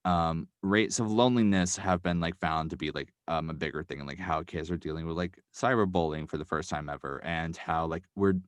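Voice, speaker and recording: A man with a very low voice chats as a podcast guest, his delivery meandering and padded with fillers and self-corrections. The sound is close and dry, with almost no room around it. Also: fairly even intonation, brisk, few pauses, no echo. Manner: rambling, full of "um" and "like", hedging. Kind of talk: casual podcast chat.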